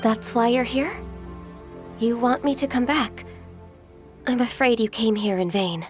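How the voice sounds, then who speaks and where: A woman voicing a gentle, wistful, half-immortal secretary in a video game, speaking politely and formally. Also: a little high, measured pace, soft background music, natural voice. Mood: resigned sadness.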